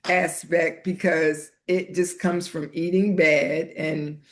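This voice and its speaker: Mature lady hosting a podcast, takes her time talking, casual and a bit halting. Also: low-pitched, fairly even intonation. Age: middle-aged or older. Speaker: podcast host. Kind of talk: conversational podcast introduction and interview.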